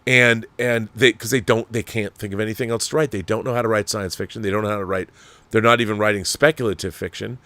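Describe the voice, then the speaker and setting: Low-pitched man, emphatic talk host. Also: fairly even intonation, fast talker, bright, forceful tone, dry recording. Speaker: online show host. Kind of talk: opinionated talk, reading viewer mail.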